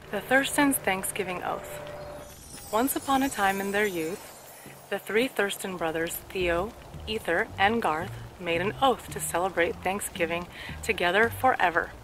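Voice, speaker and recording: A woman in her thirties reading a scripted story aloud with deliberate, carefully articulated delivery. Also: medium pitch, noisy background. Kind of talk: scripted story read-aloud for speech practice. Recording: clear background music under the voice. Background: music.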